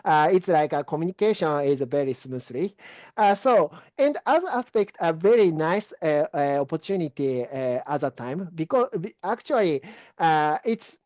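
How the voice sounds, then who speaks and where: A man with a Japanese accent, a guest answering interview questions in halting English full of fillers and restarts. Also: fairly high pitch, lively intonation, a little mellow, dry recording, dull audio. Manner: hesitant, many fillers and restarts.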